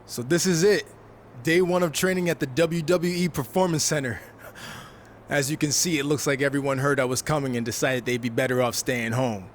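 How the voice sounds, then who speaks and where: A man's voice: mid-range voice, steady tone, fairly quick, lightly acted. Male actor as cocky rookie wrestler, young man, sardonic, self-assured, game dialogue, noisy background. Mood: confident. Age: young adult. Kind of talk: video game cutscene voice acting.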